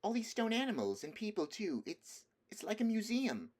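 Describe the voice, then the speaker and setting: A man voicing an excitable, wonderstruck little girl in a storybook, exclaiming with breathless wonder. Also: sits high, normal speed, pitched above the performer's own voice, exaggerated voice. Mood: amazed. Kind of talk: storybook character voice.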